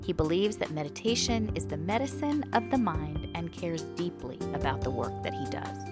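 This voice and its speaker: A woman's voice: on the low side, lively intonation, moderate pace, over loud music. A woman hosting a podcast, introducing it and interviewing.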